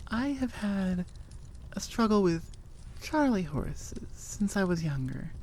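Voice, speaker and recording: Woman doing a rambly outro chat, lowish mellow voice, coy, bit of noise behind her. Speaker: audio roleplay creator.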